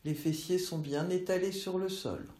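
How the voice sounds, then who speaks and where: A woman teaching yoga nidra, guiding relaxation in measured instructional phrases with long pauses. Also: on the low side, fairly even intonation, warm tone. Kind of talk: slow guided relaxation meditation. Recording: roomy, echoey voice recording.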